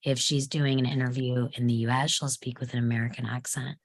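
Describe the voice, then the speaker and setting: A woman's voice: rumbling low, level tone, brisk. A woman talking in a casual group chat.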